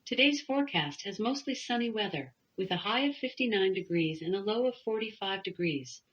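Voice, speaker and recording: A woman's voice: mid-range voice, lively intonation, brisk, fairly bright tone, muffled recording. A synthetic woman's voice reading a weather forecast as a voice assistant through a small speaker.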